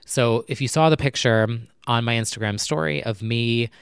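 Young guy talking low late at night, smooth clear voice, dry close sound, lots of "like"s. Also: normal speed, dry recording. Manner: chatty, rambling, lots of "like" fillers; talking low and quietly to keep neighbors from hearing. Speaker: vlogger. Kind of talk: casual personal chat to camera. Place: apartment at night.

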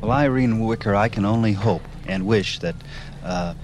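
A man's voice: fairly low pitch, normal speed. A man hosting a conversational radio interview, with polite, hesitant phrasing. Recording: faint background noise.

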